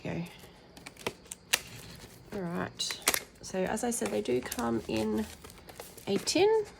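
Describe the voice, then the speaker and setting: A woman with a croaky, tired voice hosting her colouring channel, chatting casually with lots of ums as she reads out packaging and unboxes. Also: on the high side, sing-song, slow, noisy background. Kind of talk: casual tabletop unboxing chat.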